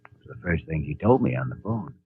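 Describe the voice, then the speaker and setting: An actor playing a calm, reassuring young hospital doctor in a 1950s radio drama. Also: male voice, very deep voice, fast talker, breathy edge, no room sound, heavily acted. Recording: tinny old radio recording with music.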